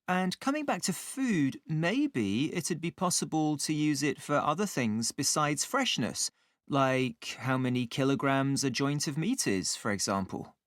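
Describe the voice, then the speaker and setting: A man playing an inquisitive student in a scripted, conversational discussion, with clear phrasing. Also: a little high, expressive, a little slow, no room sound, studio quality. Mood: earnest. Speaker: voice actor in a listening exam dialogue.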